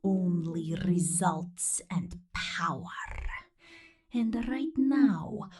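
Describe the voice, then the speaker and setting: A possessive female robot drone, acted by a woman in an intimate ASMR roleplay. Her delivery is very slow and slightly low, breathy and often whispered. The tone is very mellow and dark, with a cold, menacing tenderness. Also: full character voice.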